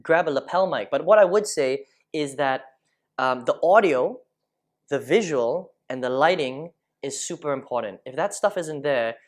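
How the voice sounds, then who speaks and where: A man's voice: on the high side, animated, normal speed. A man in his thirties from Malaysia, teaching online marketing over a screen share in a chatty way, often checking in with the listener. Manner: chatty, keeps checking in with "okay?". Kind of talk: casual screen-share tutorial. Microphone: lapel mic.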